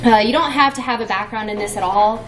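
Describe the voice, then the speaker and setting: A woman's voice: a little high, fast, a bit bright, slight background noise. A young woman giving a conference talk, playful and chatty with many fillers. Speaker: conference presenter. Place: conference room. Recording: echoey room from far off.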